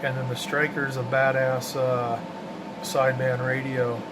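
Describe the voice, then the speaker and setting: A man's voice: medium pitch, little pitch movement, a little slow. A man giving a casual, unscripted walkthrough of his hobby setup in a plainspoken, slangy way. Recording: loud hum of fans and room noise.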